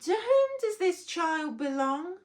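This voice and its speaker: Imperious female bee monarch, performed by a woman reader: high, swooping, commanding, storybook style. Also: sing-song, slow, exaggerated voice. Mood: stern, expectant. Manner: grand, projecting, commanding.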